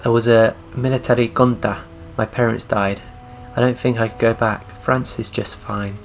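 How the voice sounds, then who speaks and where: A sorrowful woman passenger in a taxi game, reserved and sad, voiced by a man simply reading her lines. The voice is gravelly with a mid pitch. Soft game music plays faintly behind. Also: flat delivery, normal speed, lightly acted. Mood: wistful. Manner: reads her lines out plainly.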